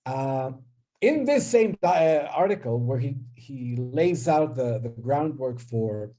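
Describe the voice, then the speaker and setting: A man's voice: mid-range voice, animated, takes it slowly, warm tone. A man whose first language is Hebrew, giving an academic linguistics lecture, hesitant, with many fillers and restarts, often checking in with "right?". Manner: asks listeners "right?".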